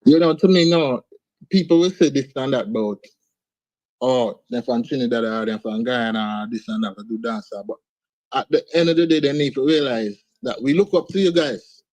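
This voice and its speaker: A man's voice: medium pitch, normal speed, darkish tone, no echo, low-quality recording. Laid-back Antiguan man, dry sound. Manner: laid-back, sprinkles speech with "man" and "you understand". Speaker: guest artist. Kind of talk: casual interview chat. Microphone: video call.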